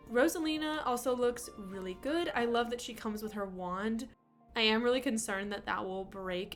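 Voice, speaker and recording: A woman's voice: mid-range voice, fairly bright tone. A young woman in her twenties with a congested voice from a cold, chatting fondly and upbeat as she reviews toys for her vlog. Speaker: toy collector vlogger. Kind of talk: casual toy review vlog.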